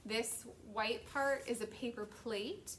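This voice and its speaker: A woman's voice: a little high, fairly even intonation, slow, a bit bright, slight background noise. A woman hosting a library story time, reading a picture book aloud and chatting casually to viewers.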